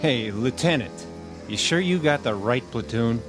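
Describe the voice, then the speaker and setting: A man's voice: medium pitch, expressive, a little slow, music in the background, lightly acted. An actor playing a mocking American infantryman in a radio drama, with a folksy, teasing drawl. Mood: incredulous protest.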